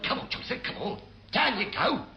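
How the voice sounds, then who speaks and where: An actor playing a scheming, beer-loving chief petty officer in a radio sitcom, wheedling and blustering, on a noisy old recording. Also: male voice, extremely high pitch, lively intonation, normal speed. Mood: bossy coaxing.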